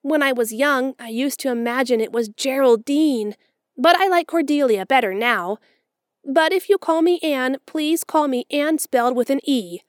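A woman's voice: high voice, moderate pace, no echo, studio quality, voice pushed up, close to an everyday voice. A woman voicing an eager, dramatic orphan girl of about eleven, pleading in a breathless, florid way in a storybook reading. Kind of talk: audiobook character voice.

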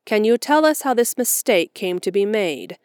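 A woman voicing a stern, practical middle-aged spinster who talks briskly and curtly in a storybook reading. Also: medium pitch, animated, clear, resonant tone, no echo, studio quality, natural voice. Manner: brisk, curt, no-nonsense.

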